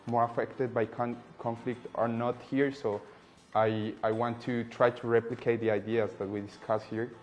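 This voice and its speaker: A man's voice: mid-range voice, level tone, moderate pace. Thoughtful young man from Colombia. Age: 20s. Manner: thoughtful, restarts sentences. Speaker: political scientist and activist. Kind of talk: interview.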